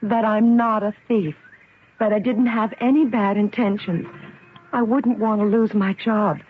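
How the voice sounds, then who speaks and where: An actress playing an earnest, anxious young city bank trainee in a radio drama, speaking politely and nervously. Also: female voice, mid-range voice, steady tone, measured pace, lightly acted. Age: young adult. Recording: tinny, noisy old radio broadcast recording.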